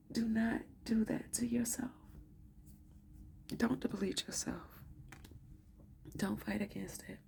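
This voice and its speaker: A woman giving a tarot reading, talking softly and often in a whisper, emotional and warm with endearments, reading card messages aloud. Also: mid-range voice, steady tone, slow pace, gravelly, pauses often. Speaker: tarot reader.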